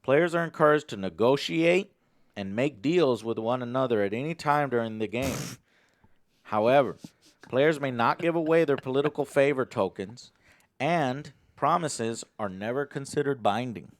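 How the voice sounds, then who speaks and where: A man's voice: mid-range voice, animated, normal speed, no echo, studio quality. A man hosting a board game, casually explaining the rules and partly reading them aloud. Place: indoor game table on a livestream.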